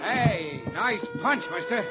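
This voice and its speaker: An actor playing an excitable bystander eager to brawl in a 1940s radio drama. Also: male voice, high-pitched, slow pace. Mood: friendly, excited. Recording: dull old radio recording, loud music.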